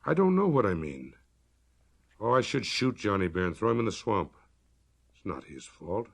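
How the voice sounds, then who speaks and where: A male storyteller voices a farmer friend in a short story. He is troubled and reticent, speaking plainly with a creaky, gravelly tone. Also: lowish voice, big pitch swings, moderate pace, exaggerated voice. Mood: uneasy.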